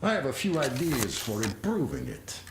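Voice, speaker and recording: A man's voice: mid-range voice, huge pitch swings, normal speed, echoey room, noisy background. An actor playing a proud, friendly male weapons tinkerer, explaining with hearty enthusiasm in a video game.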